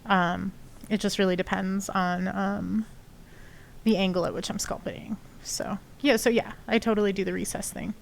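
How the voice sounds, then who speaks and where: A woman's voice: mid-range voice, little pitch movement, moderate pace, creaky, slight background noise. A woman with a sniffly nose chatting casually and rambling with many fillers while explaining her sculpting on a live stream. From not from Texas. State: sniffly from allergies. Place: home studio, lives in Texas.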